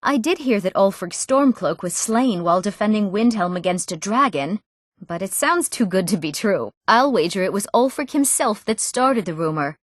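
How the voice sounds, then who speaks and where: A woman's voice: mid-range voice, animated, moderate pace, no echo, clean studio sound, natural voice. A young woman playing an earnest, proper young townswoman and noblewoman in a fantasy video game, speaking politely and formally with careful enunciation. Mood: earnest, conversational. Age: young adult. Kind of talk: scripted fantasy game dialogue.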